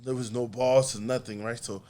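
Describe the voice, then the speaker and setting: A man's voice: mid-range voice, normal speed. Laid-back man, easygoing podcast guest. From USA. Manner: relaxed, rambling, laughs often. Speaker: podcast guest, artist and comedian. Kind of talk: casual conversation.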